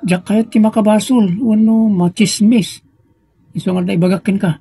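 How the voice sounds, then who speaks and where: A man's voice: sits high, fairly even intonation, moderate pace, dark tone, dry recording. An actor with a northern Philippine accent playing a suspicious, gossiping man in an Ilocano comedy drama. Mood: uneasy, confiding. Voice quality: husky, creaky. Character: suspicious, gossiping man uneasy about friend's father. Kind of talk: Ilocano comedy radio-style drama acting.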